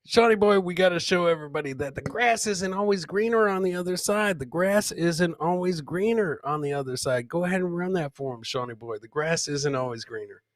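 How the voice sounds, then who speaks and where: A man's voice: sits high, fairly quick, hardly any pauses, no echo, studio quality. A man hosting a comedy podcast, casually commenting on funny clips in a folksy, joking way and repeating his lines for effect. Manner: laughs often while talking.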